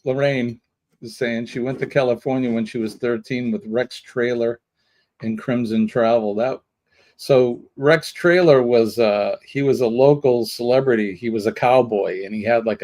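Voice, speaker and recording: A man's voice: medium pitch, steady tone, normal speed, a little mellow. A man in his sixties from New England co-hosting a casual live stream. He explains local things in an easy, conversational way and reads viewer comments aloud. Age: 60s.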